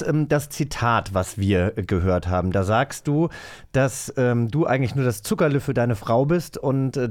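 A man's voice: mid-range voice, a little mellow. A man hosting a casual interview in a chatty, enthusiastic way, sometimes feeling for his phrasing. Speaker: interview host.

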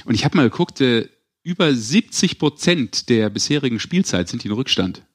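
A man's voice: mid-range voice, expressive, moderate pace. A man with a mid-range voice hosts a sports podcast. He talks in a loose, casual way, as in a conversation among colleagues. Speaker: podcast host.

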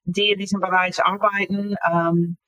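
A woman in her fifties, an author talking casually as an interview guest, halting and searching for words with many fillers. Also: mid-range voice, little pitch movement, a bit bright, poor audio.

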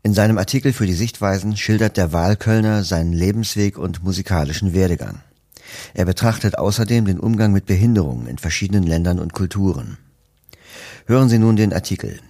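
A man's voice: sits low, animated, brisk, no echo. A man presenting an audio magazine episode in a formal announcer style. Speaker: podcast presenter. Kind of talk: scripted introduction. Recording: dry studio voice over soft music. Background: soft music.

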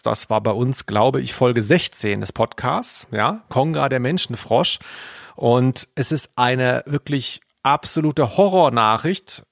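A man's voice: medium pitch, vocal fry, no echo. A man co-hosting a podcast, telling things casually with dry, tongue-in-cheek humour. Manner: dryly humorous, tongue-in-cheek. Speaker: podcast co-host.

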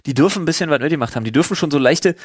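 A man's voice: on the high side, animated, very fast, no room sound, studio quality. A man hosting a casual podcast among friends, talking in crude slang mixed with English phrases. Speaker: podcast host.

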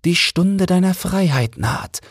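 A gentle Christmas angel voiced by a man in a storybook. The tone is lifted high and breathy, with earnest, slow delivery and swooping melody. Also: lively intonation, no room sound, studio quality, exaggerated voice. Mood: solemn, admonishing. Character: gentle, solemn Christmas angel counselling the old ruler.

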